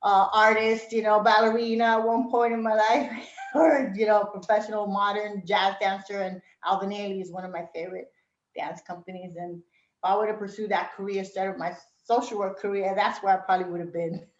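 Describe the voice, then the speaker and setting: A woman's voice: mid-range voice, steady tone, a little fast. Reflective New woman, roomy lo-fi sound. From Puerto Rico, raised in Brooklyn, New York. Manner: reflective, many fillers, laughs often. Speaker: interview guest. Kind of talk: casual reminiscing interview.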